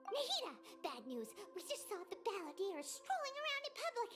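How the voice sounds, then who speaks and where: Brief: shrill, bossy little sprite girl, very high and bouncy, game cutscene voice. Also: wildly swooping pitch, over music, full character voice. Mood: alarmed. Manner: shrill, blunt and quick to accuse. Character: tiny excitable floating female companion sprite. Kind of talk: video game voice acting.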